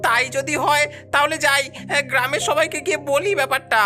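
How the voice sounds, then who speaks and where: A woman's voice: high-pitched, brisk, sharp tone, soft background music. A woman voicing a curious, easily startled villager in a cartoon, questioning and exclamatory. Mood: puzzled. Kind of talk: cartoon voice acting.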